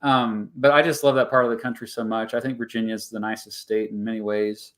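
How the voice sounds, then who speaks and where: A man with an American accent hosting a casual, unscripted interview, rephrasing his thoughts mid-sentence in a halting way. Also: fairly low pitch, little pitch movement, fairly bright tone. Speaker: YouTube host in a two-way interview.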